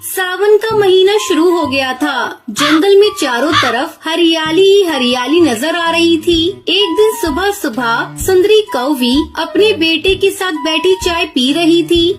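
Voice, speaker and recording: A woman's voice: very high voice, lively intonation, a little slow, hardly any pauses. A woman narrating a scripted moral story for children. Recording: music under the voice.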